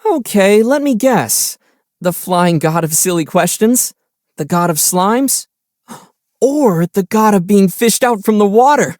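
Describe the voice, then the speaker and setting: A man voicing a calm, gentle young traveler hero in a video game, speaking thoughtfully with mild teasing. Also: high voice, no room sound, studio quality. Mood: amused, teasing. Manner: measured, thoughtful, mildly teasing. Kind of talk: video game character voice lines.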